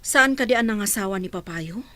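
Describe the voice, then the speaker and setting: An actress playing a concerned, brisk and kind businesswoman in an Ilocano radio drama. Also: medium pitch, expressive, fairly bright tone, lightly acted. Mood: firm, indignant. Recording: music under the voice.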